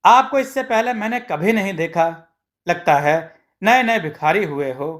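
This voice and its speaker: A man's voice: high-pitched, animated, measured pace, a bit bright. A man voicing a credulous village housewife in a moral story, respectful, eager and wide-eyed. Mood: curious, respectful.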